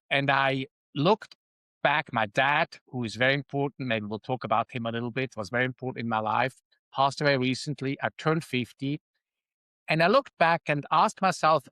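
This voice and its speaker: A man in his fifties speaks with a Swiss accent, thinking aloud and pausing on ums and uhs. His voice sits in a middle pitch. The recording is dry and close. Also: fairly bright tone, no echo. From Switzerland. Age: 50s. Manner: reflective, with frequent ums and uhs. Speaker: guest. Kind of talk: casual interview talk.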